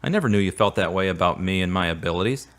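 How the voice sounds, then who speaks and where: A man's voice: low voice, expressive, fast talker, a bit bright. An actor playing a supportive, slightly awkward man in a radio drama, speaking earnestly and a little formally. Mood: modest, reassuring. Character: Derek, earnest, supportive, awkward male friend. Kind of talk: serial radio drama acting.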